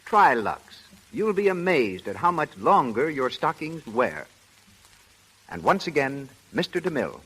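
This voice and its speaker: A man's voice: mid-range voice, big pitch swings, unhurried, fairly bright tone, husky, rough recording. An American man announcing and reading sponsor copy in the style of 1930s radio. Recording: vintage 1930s radio recording.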